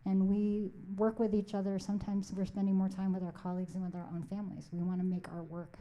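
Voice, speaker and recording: A woman's voice: medium pitch, little pitch movement, quick pace, dark tone. A woman from the Philippines whose first language is Tagalog, speaking on a conference panel in an earnest, encouraging way with many "like"s.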